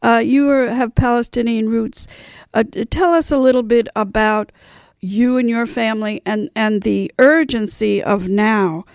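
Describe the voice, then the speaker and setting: A radio host who asks questions in a relaxed conversational way. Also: medium pitch, measured pace, no echo, studio quality. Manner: gravelly, conversational, prompting. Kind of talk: radio interview.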